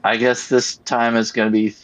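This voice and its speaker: A man's voice: mid-range voice, level tone, normal speed. A man chatting casually in a laid-back way. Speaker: podcast co-host. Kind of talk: casual chat. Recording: muffled lo-fi audio.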